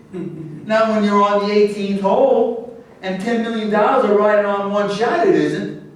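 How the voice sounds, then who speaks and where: A man in a staged play reading, playing a fastidious, mocking and defensive golf star. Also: high-pitched. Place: echoey indoor room at a staged reading. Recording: distant, very echoey room.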